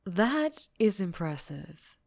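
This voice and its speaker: A woman playing a dangerously charming female mafia boss in an ASMR roleplay, teasing and deliberate, often slipping into whispers. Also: medium pitch, very animated, vocal fry, dry recording, heavily acted. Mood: teasing, amused.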